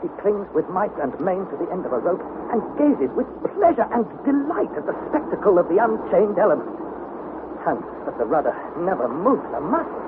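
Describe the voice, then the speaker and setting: A narrow, tinny vintage radio recording carries an anxious young explorer with a high-pitched voice. He narrates his expedition journal with earnest, literary intensity, in the dramatic style of an adventure serial. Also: sing-song, moderate pace, background noise. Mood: excited, awed.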